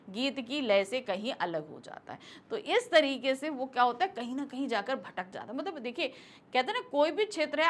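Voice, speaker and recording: A woman's voice: sits high, pushed and bright. A woman teacher goes through exam questions, patiently asking and answering her own questions. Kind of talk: exam question walkthrough lesson.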